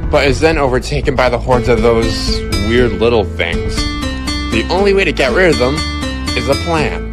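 A man's voice: mid-range voice, animated, moderate pace, loud music behind the voice, natural voice. A man playing a chatty cartoon narrator delivering a scripted recap in casual fashion. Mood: explanatory.

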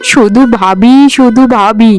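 A woman's voice: on the high side, a little slow, close to an everyday voice. A woman playing a poor, careworn, tender mother in a cartoon, speaking in a plaintive, sighing, affectionate way. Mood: weary, reassuring. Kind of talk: animated Bengali folk-tale cartoon acting.

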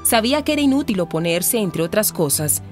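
A woman's voice: mid-range voice, sing-song, fairly quick, soft background music. A woman with a Colombian accent narrating a scripted radio drama.

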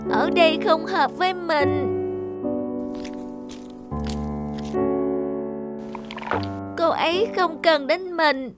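An actor voicing a young, naive, lovestruck teacup in an animated children's tale, with music underneath. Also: extremely high pitch, a little slow. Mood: homesick, sad.

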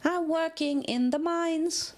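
A woman voicing a cheerful, carefree young female pony in a story reading, chirpy and excitable. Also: extremely high pitch, slow pace, pitched above the performer's own voice. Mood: bubbly. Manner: sing-song, chirpy, excitable.

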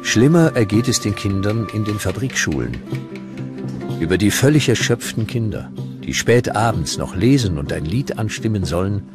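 A man narrating a scripted documentary with dramatic, deliberate storytelling. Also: lowish voice, expressive, over music.

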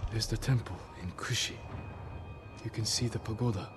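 An actor playing a stoic, gentle samurai in a game cutscene, speaking with solemn, restrained, gently firm care. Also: male voice, fairly low pitch, normal speed, noisy background, close to an everyday voice. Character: stoic, gentle samurai warrior caring for an old woman. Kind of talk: dramatic video game cutscene acting. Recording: music behind the voice.

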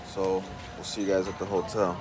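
A man's voice: low-pitched, flat delivery, brisk. A young man casually narrating his own travel vlog in a laid-back, chatty way, outdoors by a pool and beach. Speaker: vlogger. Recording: noisy outdoor camera audio.

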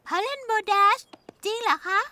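A woman voicing an impatient, eager little filly pony with a blunt, excitable delivery in a children's cartoon dub. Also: very high voice, fairly quick, no room sound, exaggerated voice. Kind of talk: dubbed children's cartoon acting. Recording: music behind the voice.

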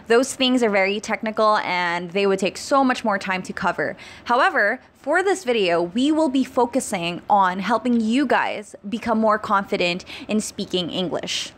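A woman's voice: mid-range voice, fairly bright tone. Upbeat chatty young woman vlogger. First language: Tagalog. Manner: upbeat, chatty, laughs between phrases. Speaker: YouTuber giving English-speaking tips. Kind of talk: casual vlog talk to camera. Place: small indoor room, plain wall. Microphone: handheld mic held close.

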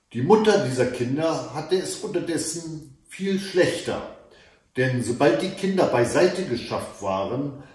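A middle-aged man reading a fairy tale aloud in a careful, expressive storytelling manner. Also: mid-range voice. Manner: deliberate, careful storytelling delivery. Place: indoors in a book-lined room at home. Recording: echoey room, mic away from speaker.

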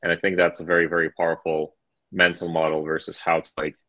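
Very deep-voiced man moderating a panel. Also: completely flat delivery, bright, forceful tone, no echo, muffled recording. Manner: halting, many filler sounds and restarts. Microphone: video call.

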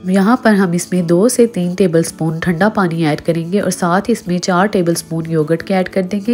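A woman with a Pakistani Urdu accent hosting a cooking channel, giving steady step-by-step recipe instructions in a voice-over. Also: fairly low pitch. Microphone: voice-over, off camera.

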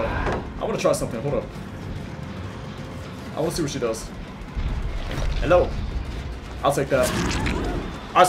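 A hoarse young man giving loud, profane commentary over his own gameplay, muttering one moment and yelling the next. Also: fairly high pitch. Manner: swings from muttering to yelling, swears a lot. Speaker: gaming YouTuber. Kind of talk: excited live gameplay commentary.

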